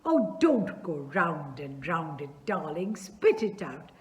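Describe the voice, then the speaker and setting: A woman's voice: very animated, slow, exaggerated voice. A woman reading aloud as an effusive, fussy older male landowner who showers his guest with endearments. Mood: gushing. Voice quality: gushing, loud and high-pitched endearments.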